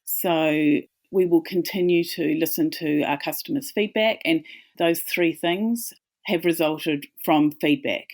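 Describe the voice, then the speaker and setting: A woman's voice: low voice, measured pace, darkish tone. A woman with a New Zealand accent, a company founder talking as a guest in a casual, friendly interview, with small restarts.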